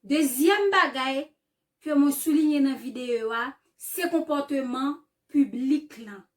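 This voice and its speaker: A chatty woman hosts her own commentary channel and talks in a high voice. She fires off rhetorical questions and picks apart a video piece by piece. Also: slow pace, distant mic. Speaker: channel host.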